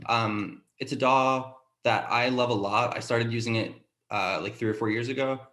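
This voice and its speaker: A man's voice: medium pitch, fairly even intonation, moderate pace, a bit bright. A man talking casually on a panel in an informal, self-effacing way. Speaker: sound designer on a talkback panel. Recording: roomy, echoing sound.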